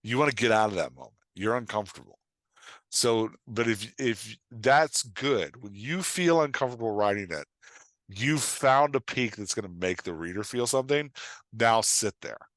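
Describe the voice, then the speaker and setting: A man's voice: on the low side, animated, moderate pace, a bit bright, no room sound. A man chatting casually as a podcast guest and writing coach, emphatic, often repeating his phrases and acting out imagined remarks. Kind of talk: casual interview chat.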